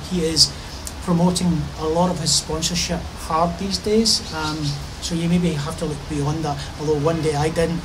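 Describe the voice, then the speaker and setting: A man's voice: fairly high pitch, noisy background. A middle-aged man talking to camera in an enthusiastic, casual way from a covered pavilion in a park. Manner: enthusiastic, gesturing with his hands while he talks. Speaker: vlogger.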